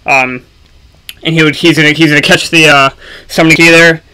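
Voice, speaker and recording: A man giving casual, unscripted gaming commentary for a video, speaking haltingly with frequent ums and pauses. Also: fairly high pitch, little pitch movement, sharp tone, clear, resonant tone, rough recording.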